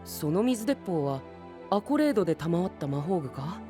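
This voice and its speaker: Proper little gentleman knight, light voice, formal and earnest, tosses in english, anime drama, soft music. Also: sits high, very animated, normal speed. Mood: admiring. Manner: formal, courtly, with English interjections. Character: refined, earnest young gentleman knight, formal and tradition-loving.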